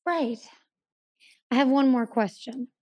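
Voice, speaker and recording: A woman's voice: sits high, takes it slowly, warm tone, no echo. A woman playing a skeptical, probing friend in an audio drama, dry and blunt in a natural conversational style. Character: skeptical, probing woman questioning a would-be ghost hunter.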